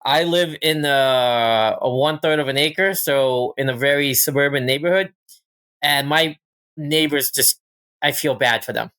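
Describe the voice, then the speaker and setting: Male podcast guest from Thailand, mid-pitched, casual storyteller. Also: unhurried, sharp tone, smooth. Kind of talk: casual personal storytelling and chat.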